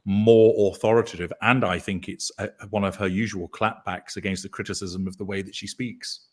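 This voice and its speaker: A man with a deep baritone voice, talking as a guest in a measured, deliberate way with dry dark humor. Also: fairly even intonation. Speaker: guest commentator. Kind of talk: casual chat.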